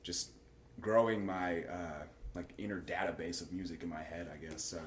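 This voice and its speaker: Man, very low voice, relaxed, reflective, echoey room. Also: moderate pace. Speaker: guest guitarist. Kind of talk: casual interview chat. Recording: echoey room, faint noise.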